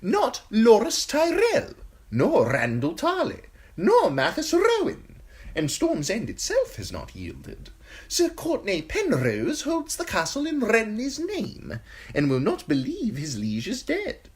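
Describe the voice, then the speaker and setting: A woman voicing a sly, simpering male eunuch courtier with a high, tittering voice, in an audiobook. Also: wildly swooping pitch, slow pace, breathy edge, heavily acted. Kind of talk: audiobook character voice.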